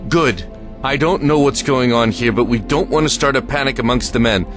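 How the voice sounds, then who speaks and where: A man's voice: medium pitch, moderate pace, close to an everyday voice. A man playing a grim American lieutenant. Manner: firm, controlled, secretive. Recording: audio drama with music underneath.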